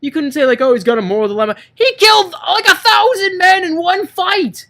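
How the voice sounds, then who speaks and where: A man's voice: very high-pitched, animated, a little fast, pushed and bright. A man co-hosting a casual movie-review chat, talking in an exasperated, ranting, sweary way. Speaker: podcast co-host.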